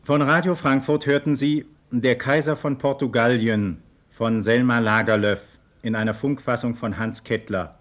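A man reading radio credits as an announcer, formal and measured. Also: mid-range voice, steady tone.

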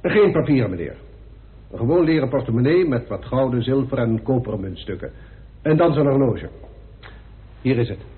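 Tinny, animated police inspector, acted by a man. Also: mid-range voice, moderate pace, long pauses, lightly acted. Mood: reporting, resigned. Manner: respectful, factual, clipped reporting. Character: deferential, matter-of-fact police inspector giving evidence. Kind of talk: radio drama acting. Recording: tinny band-limited old radio recording.

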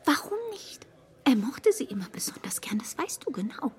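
A woman's voice: fairly high pitch, very animated, moderate pace, in a whisper. A confiding motherly friend. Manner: confiding, eager, hushed. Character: motherly older friend, eager matchmaker. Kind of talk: radio play acting.

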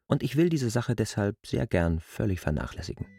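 An actor playing a proud, defensive land surveyor in a German literary radio drama, arguing deliberately in formal phrasing. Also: male voice, on the low side, very animated, creaky, mellow, slightly rough, dry recording, studio quality, full character voice. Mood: cool, reasoning.

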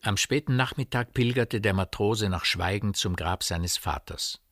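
A man narrating a radio drama as a literary storyteller, in a measured manner. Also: lowish voice, a bit bright, no echo, clean studio sound. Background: music.

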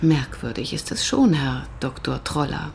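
An actress in a radio drama playing a cool, probing police detective who questions in a matter-of-fact, insistent way. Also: female voice, deep voice, animated, unhurried, creaky, a little mellow, slight background noise, full character voice. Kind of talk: naturalistic German radio drama acting.

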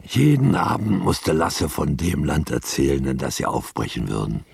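A man narrating a radio drama as a solemn storyteller, with a gravelly voice and music behind him. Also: very deep voice, very animated, normal speed, darkish tone, dry recording, studio quality, heavily acted. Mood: solemn storytelling.

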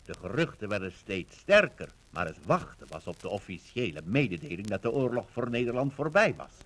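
A man's voice: on the low side, very animated, fairly quick, fairly bright tone. A man giving formal, dramatic scripted narration for a 1950s radio documentary.

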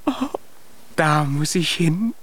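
A man's voice: a little high, slow, a bit bright. An actor in a children's radio play voicing a tiny, plucky boy giant who is eager and boastful, with an earnest, exclaiming delivery. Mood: eager, determined.

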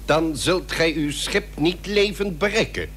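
An actor playing an imperious, threatening Barbary potentate in a period radio drama, with commanding, archaic formal speech. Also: male voice, on the high side, unhurried, a bit bright. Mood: haughty, haggling. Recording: noisy old radio recording with hum.

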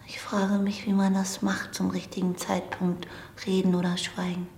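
Radio drama: actress as a young female admirer, soft and dark-toned, hesitant yet probing, in a roomy space. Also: mid-range voice, completely flat delivery, normal speed, lightly acted. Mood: wistful, probing. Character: yearning, intrusive young woman doctor, quietly obsessed admirer. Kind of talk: naturalistic radio drama acting.

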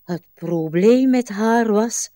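Actress doing tired wistful old lady in a radio drama, really slow n pensive, close dry sound. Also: medium pitch, mellow, dry recording, close to an everyday voice. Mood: haunted, intense. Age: older. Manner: slow, halting, pensive delivery with drawn-out sighs. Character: weary, wistful, brooding old Irish countrywoman, a wife. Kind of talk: literary radio drama acting.

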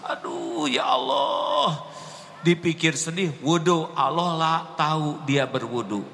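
A man preaching a sermon to an audience and answering questions, gentle at times, then rising to impassioned exclamations. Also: on the high side, animated, slow, creaky, long reverb tail.

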